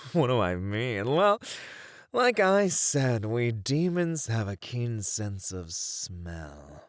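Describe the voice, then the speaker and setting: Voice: mid-range voice, big pitch swings, drawn-out words, dry recording, clean studio sound. A performer voicing a playful, smugly flirtatious demon in an ASMR roleplay, with a drawling, theatrical, teasing delivery. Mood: seductive, hungry.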